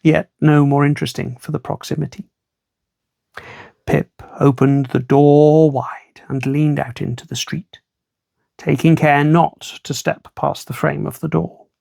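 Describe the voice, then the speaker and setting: A storyteller reading a children's book aloud in an expressive, measured, wry way. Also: medium pitch, vocal fry, no echo, studio quality.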